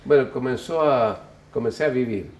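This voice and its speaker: An older man from Chile, interviewed, musing on his life in an unhurried, reflective way that often corrects itself. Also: mid-range voice, darkish tone, dull audio. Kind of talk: relaxed reflective storytelling.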